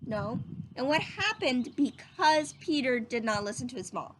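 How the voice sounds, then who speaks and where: High-pitched woman reading a children's story. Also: very animated, measured pace, background noise. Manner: teacherly, explanatory, addressing children. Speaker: storyteller reading a children's picture book aloud. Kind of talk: children's read-aloud with questions to young listeners.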